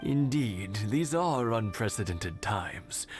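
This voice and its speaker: A man voicing a courteous elderly gentleman in a game, slow and formal. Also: fairly low pitch, lively intonation. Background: game music.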